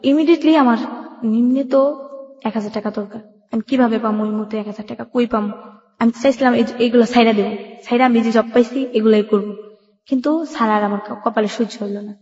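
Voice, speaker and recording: A Bangladeshi-accented woman tells her own life story to an interviewer in plain, colloquial, heartfelt speech. Her voice is fairly high and stays rather flat in pitch as she talks quickly. The recording has a hall-like echo. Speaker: interview guest. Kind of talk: personal life-story telling. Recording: echoey hall, slightly dull sound.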